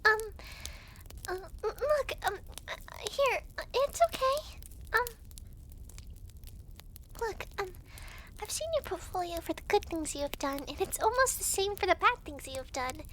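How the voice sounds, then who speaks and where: Girl doing a super high chatty devil girl for asmr roleplay, breezy n teasing, voice bounces around. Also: animated, fairly bright tone, a little hiss or hum, full character voice. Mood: flustered, consoling. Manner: giggly, breezy, teasing, halting when flustered. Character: chatty young devil girl, hell's intake clerk. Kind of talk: ASMR roleplay, talking to the listener.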